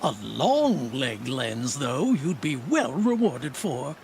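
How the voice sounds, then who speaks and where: An actor playing an exasperated, fussy trader in a video game, sardonic and formal with customers. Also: male voice, medium pitch, very animated, slow, vocal fry, darkish tone, slight background noise, full character voice. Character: exasperated, fussy male trader correcting his partner.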